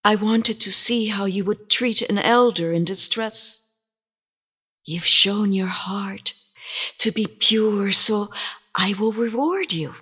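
A woman voicing a frail old woman who is secretly a fairy, speaking slowly, softly and breathily. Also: medium pitch, husky. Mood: gentle.

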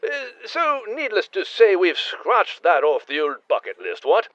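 A man's voice: high-pitched, wildly swooping pitch, measured pace, a bit bright, no echo, highs cut off, heavily acted. A man voicing a huge, genteel British whale, posh and bumbling, heard over a speakerphone in a comic audio drama. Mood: sheepish. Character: huge, genteel, modest adventurer whale, male.

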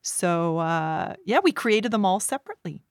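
A woman's voice: lowish voice, animated, normal speed, gravelly, smooth, no echo, clean studio sound. A woman guest chatting casually, telling anecdotes with chuckles along the way. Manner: chuckles while telling stories. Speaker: interview guest and performer.

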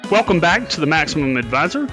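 Slightly high-voiced male podcast host. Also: sing-song, fast, music in the background. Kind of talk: interview podcast.

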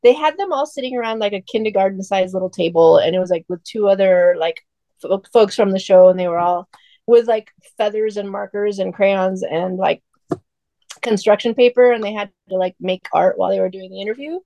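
A woman co-hosting a podcast, chatting casually and telling things in a halting, filler-heavy way. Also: mid-range voice, warm tone, dry recording. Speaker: podcast co-host.

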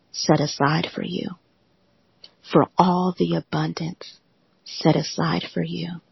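A host of a live audio room giving a gentle, reassuring motivational talk in a soothing, often whispery voice. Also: mid-range voice, vocal fry, slightly rough, no echo, lo-fi sound.

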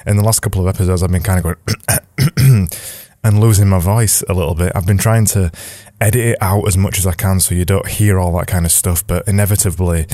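A man hosting a podcast as a casual audio diary from his attic at home, his voice slightly raspy, rambling and cutting in on himself. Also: sits low, brisk, mellow, dry recording, clean studio sound. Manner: rambling, self-interrupting. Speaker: podcast host.

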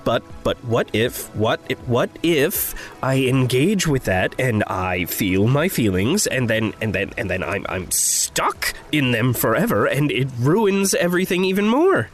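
A man's voice: medium pitch, big pitch swings, measured pace, hardly any pauses, faint music behind. An actor playing a very drunk, moody young man in an audio drama, evasive and theatrically mock-formal. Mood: anxious, pleading.

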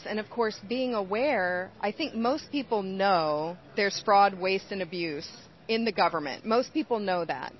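Earnest woman explaining, lo-fi sound. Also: medium pitch. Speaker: interview guest, whistleblower. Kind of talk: earnest interview answers. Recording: dull, lo-fi sound.

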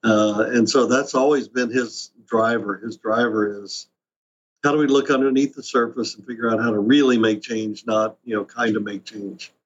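A man's voice: medium pitch, steady tone, moderate pace, breathy edge. A man giving an interview in casual, conversational talk. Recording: dull, lo-fi interview audio.

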